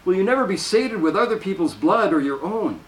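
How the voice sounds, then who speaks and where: A man's voice: high voice, lively intonation, moderate pace, voice pushed up. A man reading aloud and voicing a panicked, defiant young nun whose voice breaks with outrage, heard in a roomy space from a distant mic.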